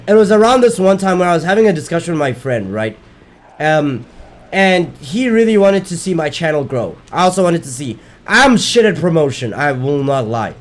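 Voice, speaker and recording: A man streams a game and chats at the same time, his speech a little higher than most men's. His voice is worn from daily streaming. He rambles, doubles back on his words and swears casually between moves. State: losing his voice. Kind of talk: casual chat while gaming.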